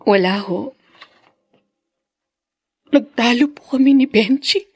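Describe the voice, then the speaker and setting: A woman's voice: a little high, slow, a little mellow, breathy edge, no room sound, clean studio sound, heavily acted. An actress playing an anguished young wife and mother in a radio drama, tearful and pleading. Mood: subdued, hurt. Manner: tearful, pleading, emotionally overwrought. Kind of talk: Filipino radio drama acting.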